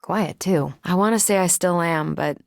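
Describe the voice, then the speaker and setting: Casting: confident female superhero soldier for a video game, lowish voice, dry close studio sound, quippy, casual and brash yet friendly. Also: normal speed, creaky, no room sound. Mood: wry, casual.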